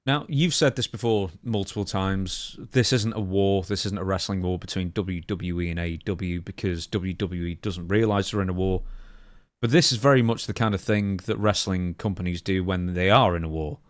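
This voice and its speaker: A man's voice: deep voice, normal speed, dry recording. A man hosting a podcast, putting questions to his guest in a conversational way. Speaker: podcast host, interviewer. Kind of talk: conversational interview.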